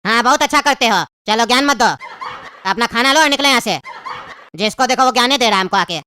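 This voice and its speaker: A high-voiced performer playing a sarcastic, blunt biryani server in a jail comedy cartoon, with Bihari-style Hindi. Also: fast, bright, forceful tone, slight background noise, lightly acted. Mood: dismissive. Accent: eastern Indian (Bihari-style) Hindi. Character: brusque, mocking jailhouse biryani server, cartoon.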